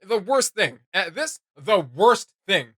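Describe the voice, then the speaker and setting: A man's voice: high voice, very animated, slow, a bit bright, breathy edge, no echo. A man hosting a reaction video, giving casual, opinionated commentary in an emphatic, slangy way.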